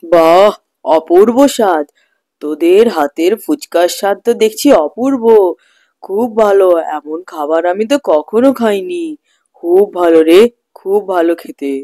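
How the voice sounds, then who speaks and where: A woman's voice: on the low side, unhurried, warm tone, no echo, voice pushed down, lightly acted. A woman voicing a kindly, solemn male forest sage in a children's cartoon, giving fatherly advice in repeated phrases. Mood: delighted. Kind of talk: children's cartoon fairy tale.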